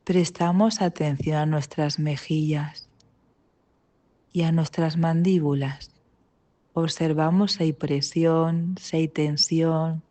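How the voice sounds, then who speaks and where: A woman with an accent from Spain leading a guided meditation, calm and gentle, with long pauses between short instructions. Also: low voice. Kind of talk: guided mindfulness body scan meditation.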